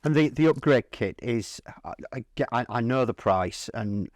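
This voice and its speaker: A man's voice: medium pitch, expressive, normal speed, no echo. A man interviewing in a casual, informal chat, repeating words as he frames his thoughts and questions. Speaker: interviewer for a hi-fi channel. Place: at a hi-fi trade show.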